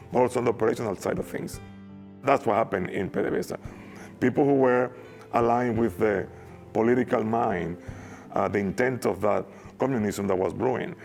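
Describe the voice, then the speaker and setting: Gravelly Venezuelan-accented man in his 50s. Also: lowish voice. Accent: Venezuelan Spanish. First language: Spanish. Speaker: former oil worker, interviewee. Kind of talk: documentary interview. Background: soft guitar music.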